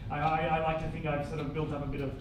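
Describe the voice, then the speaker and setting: Deep-voiced woman in her forties, dry halting stand-up delivery, roomy and distant live-club sound. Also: flat delivery. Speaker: stand-up comedian. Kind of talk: stand-up comedy set. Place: comedy club stage with live audience. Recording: echoey room, mic from afar, loud crowd noise.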